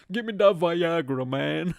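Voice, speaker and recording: A man in his early thirties from Bulgaria, hosting his podcast with a lively, swearing, casual storytelling manner, recorded close on a stand mic in a small room at home. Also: a little high, takes it slowly, vocal fry, dry recording. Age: 30s. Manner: animated, swearing, laughing, acts out quotes. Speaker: podcast host. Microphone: studio mic on stand close to mouth.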